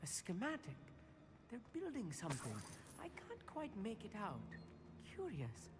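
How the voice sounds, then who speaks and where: A man's voice: high voice, huge pitch swings, unhurried, noisy background, heavily acted. A man voicing an eager, bookish teenage wizard with a British accent in a video game, earnest and well-spoken. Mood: curious. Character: eager bookish teenage schoolboy wizard, nervous but curious.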